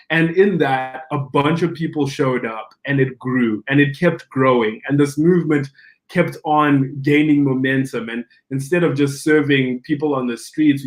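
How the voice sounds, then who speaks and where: A young adult pastor from Zimbabwe tells his story in a relaxed podcast conversation. He is animated, acts out the voices of the people he quotes, and often restarts his sentences. His voice sits at an ordinary middle pitch for a man. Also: rough recording.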